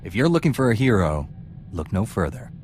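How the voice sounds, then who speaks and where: A man playing a calm, self-assured Jedi knight hero in a video game. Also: lowish voice, a little fast, very noisy. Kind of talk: video game character acting. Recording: music behind the voice.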